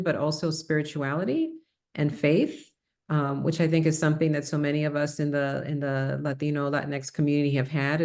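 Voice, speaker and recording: Middle-aged woman, low voice, light New York-area accent; reflective interview guest, pauses and restarts. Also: darkish tone. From Puerto Rico, raised New Jersey and New York. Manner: thoughtful, many fillers and restarts. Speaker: psychiatrist guest. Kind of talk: conversational interview.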